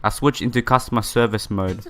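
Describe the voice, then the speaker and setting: A man's voice: fairly low pitch, fairly quick, bright, forceful tone, faint music behind. A man reading a visual novel aloud in a casual playthrough, stumbling over words now and then and reacting with his own commentary. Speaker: gaming YouTuber.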